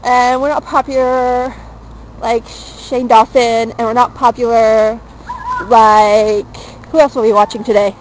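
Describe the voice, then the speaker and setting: A young woman films herself with a handheld camera while she walks through a small town. She talks in a high voice, jokey and self-mocking. A faint street noise sits under the voice. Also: flat delivery, measured pace, poor audio. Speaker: vlogger. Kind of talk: casual chat while walking. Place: outdoors on a small-town street, later indoors in a wood-paneled room. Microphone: handheld camera held close.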